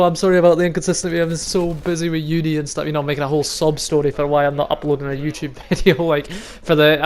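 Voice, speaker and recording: A young Highland man with a Scottish accent talks straight to his channel's viewers, rambling and swearing as he goes. His voice sits a little high for a man and comes across smooth and clear. He laughs easily between thoughts. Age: 20s. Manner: chatty and rambling, swears freely, laughs often. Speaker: vlogger and youth football coach. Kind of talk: casual monologue to camera.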